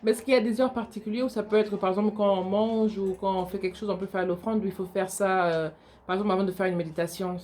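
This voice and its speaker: A woman moderating a religious live stream, asking questions and reading viewer comments in a plain, measured way. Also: mid-range voice, fairly even intonation, darkish tone, a little hiss or hum.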